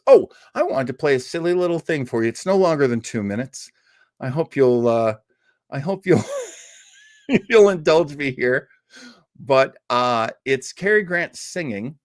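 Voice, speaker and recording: A man's voice: mid-range voice, very animated, a little slow, dry recording, studio quality. A man hosting a live online listening party, rambling casually with self-deprecating jokes and digressions. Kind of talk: casual rambling livestream introduction.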